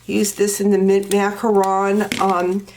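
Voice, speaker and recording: A woman with a stuffy, congested voice talks through a card-making project in an unhurried, step-by-step way. Her pace is slow and easy, with faint background noise and small clicks under her voice. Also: mid-range voice, monotone, a little mellow, poor audio. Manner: slow, step-by-step instructing with fillers. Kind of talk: crafting tutorial walkthrough.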